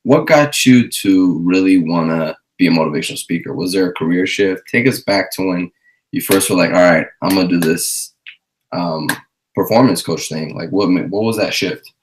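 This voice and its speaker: A male podcast host asking relaxed, prompting questions in a casual interview. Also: sits low, moderate pace, slight background noise, rough recording.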